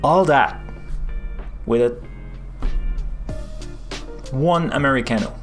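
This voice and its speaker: A man's voice: medium pitch, takes it slowly, soft background music. A man with a French accent, casually chatting through a live coding stream, rambling with lots of fillers and restarts.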